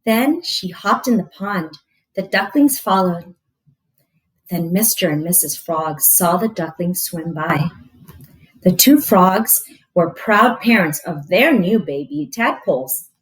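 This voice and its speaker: Slow, expressive woman reading a storybook. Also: lowish voice, rough recording. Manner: expressive, explains to young listeners. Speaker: read-aloud teacher. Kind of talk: storybook read-aloud for children with simple asides.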